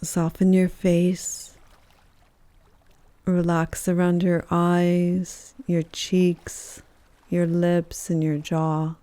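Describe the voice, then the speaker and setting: A woman leading a guided yoga nidra meditation in a calm, gentle, measured voice with soft pauses between phrases. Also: lowish voice, clean tone, dry recording. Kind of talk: guided meditation, soothing scripted narration.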